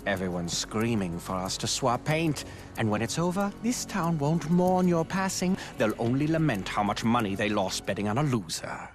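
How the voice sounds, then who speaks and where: A sly, arrogant male villain from a racing video game, acted by a man. He taunts theatrically in a mid-pitched voice that swings widely, with music playing behind him. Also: sing-song, normal speed, close to an everyday voice. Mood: mocking, menacing. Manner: mocking, drawling, theatrical. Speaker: rival racer working for a crime boss. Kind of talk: video game cutscene acting.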